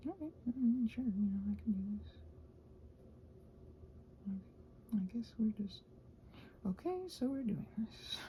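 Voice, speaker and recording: A woman chatting casually while crafting, soft and often dropping to a near-whisper, hesitant and thinking aloud, with playful little exclamations. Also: on the high side, animated, a little slow, pauses often, lo-fi sound, slight background noise. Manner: hesitant, thinking aloud, trailing off mid-sentence. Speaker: host of a crafting ramble channel. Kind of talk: casual rambling craft chat, ASMR-like.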